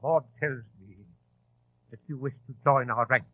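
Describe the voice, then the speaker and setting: A man's voice: medium pitch, steady tone, normal speed, breathy edge, no echo, lightly acted. An actor in 1940s radio style playing a nervous, vain, grandiose English madman who rants and repeats himself. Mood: curt, guarded. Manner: agitated, repeats himself, ranting. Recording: tinny, band-limited old radio broadcast.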